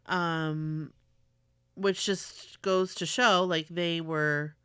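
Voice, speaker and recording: A woman's voice: fairly low pitch, fairly even intonation, drawn-out words, sharp tone, clean tone, no room sound, studio quality. A woman co-hosting a casual podcast, agreeable and hesitant, often restarting her sentences. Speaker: podcast co-host. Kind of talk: casual chat.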